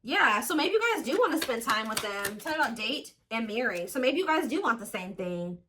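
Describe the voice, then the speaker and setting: A woman's voice: a little high, lively intonation, brisk, noisy background. A woman giving a casual tarot reading, thinking aloud with lots of fillers and restarts. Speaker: tarot reader.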